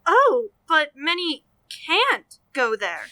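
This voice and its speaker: A concerned charity lady in a radio drama, acted by a woman, with a high and extremely bright voice. She is courteous and pleading, recorded dry. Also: big pitch swings, slow, dry recording, heavily acted. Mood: polite, concerned. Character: polite, concerned female charity collector. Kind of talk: old-time radio drama acting.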